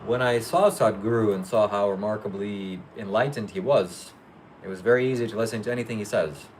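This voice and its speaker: A man hosting a casual live Q&A, reading viewer questions aloud and answering them briefly in a matter-of-fact, low-key way. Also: brisk, a little hiss or hum, rough recording. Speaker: livestream host.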